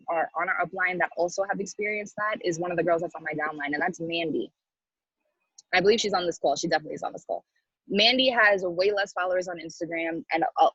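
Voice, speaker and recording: A woman's voice: lowish voice, slightly rough, dry recording. A woman coaching a sales team in a casual, motivational style, blunt and slangy, emphatically repeating her key phrases, on a dull lo-fi recording. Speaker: sales team coach leading a training call. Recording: dull lo-fi sound.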